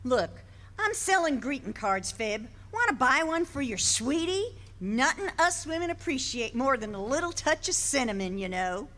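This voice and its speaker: A woman's voice: high-pitched, big pitch swings, normal speed, a bit bright. A woman playing a brash sister selling greeting cards in a live staging of a 1940s radio comedy, pushy and wisecracking. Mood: pitching. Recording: live stage in a hall.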